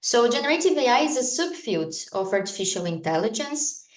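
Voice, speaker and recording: A woman from Brazil with a Brazilian Portuguese accent, a lawyer giving a conference talk, explaining in a measured way with frequent hesitations. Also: on the high side, very animated. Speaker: guest speaker, lawyer. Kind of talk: conference presentation. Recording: echoey room.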